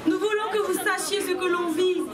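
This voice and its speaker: Very high woman addressing a loud crowd. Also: fairly even intonation, normal speed. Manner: rousing, indignant. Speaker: student protest speaker. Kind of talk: speech to a rally crowd. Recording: distant, echoing, loud crowd.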